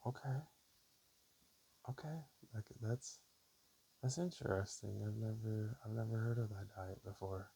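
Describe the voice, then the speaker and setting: A man speaking softly and casually in an ASMR style, sometimes whispering, with a hesitant, unhurried, low gravelly murmur. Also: poor audio. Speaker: ASMR creator. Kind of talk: ASMR, soft-spoken and whispered personal talk. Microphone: camera or basic mic, spoken close. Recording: faint hiss on amateur mic.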